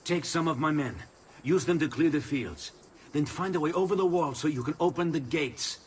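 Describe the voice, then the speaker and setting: An actor playing a commanding military ally giving orders in a video game. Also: male voice, on the high side, moderate pace, slight background noise, lightly acted.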